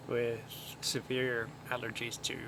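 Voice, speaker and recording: A man giving casual, chatty commentary as he streams a video game. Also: mid-range voice. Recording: steady background noise.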